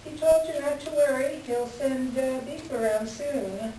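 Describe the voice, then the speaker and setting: A woman's voice: sits high, a little slow. A woman playing a blunt, fed-up secretary in a staged play reading, curt and matter-of-fact. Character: blunt, fed-up female secretary, unimpressed by her boss. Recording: very echoey room, distant mic, faint noise.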